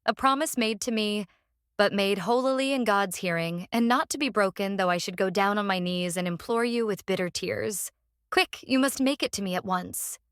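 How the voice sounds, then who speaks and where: A woman's voice: mid-range voice, moderate pace, fairly bright tone, clear, resonant tone, dry recording, close to an everyday voice. Woman acting a solemn, pleading young wife, dry sound. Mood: pleading, intense. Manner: solemn, intense, imploring. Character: devout, resolute young wife, tender and pleading. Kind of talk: dramatised audiobook acting.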